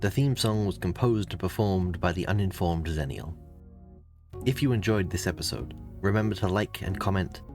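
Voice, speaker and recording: A man with a low voice narrates a podcast outro. He reads the scripted credits as an announcer while guitar music plays behind him. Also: moderate pace. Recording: music playing under the voice.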